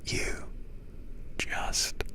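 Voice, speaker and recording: A man playing a seductive, menacing demon lord in an ASMR roleplay, teasing and condescending in a breathy voice with drawn-out pauses. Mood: quiet mockery. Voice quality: very deep, breathy whisper-heavy voice. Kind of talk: ASMR roleplay, close whispered delivery.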